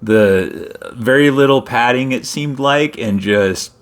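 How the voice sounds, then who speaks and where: A man's voice: mid-range voice, takes it slowly. A middle-aged man hosting a casual sports talk show, laughing easily and steering the topics. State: injured wrist. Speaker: host.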